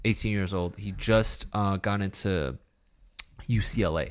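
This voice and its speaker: A man from New York co-hosting a casual podcast, talking in halting bursts with many restarts and repeated words. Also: low voice, a little hiss or hum. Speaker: podcast co-host.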